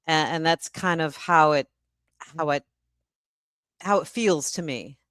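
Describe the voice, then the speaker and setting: A woman's voice: low-pitched, takes it slowly, a bit bright, clear, resonant tone, dry recording, studio quality. A woman hosting a live stream in a casual, slightly hesitant way, repeating words as she thinks. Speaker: host of live book event.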